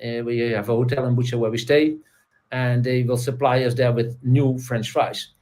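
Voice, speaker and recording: A man with a Dutch accent, a guest in casual chat, talking haltingly with many fillers and restarts. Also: medium pitch, steady tone, darkish tone. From Netherlands.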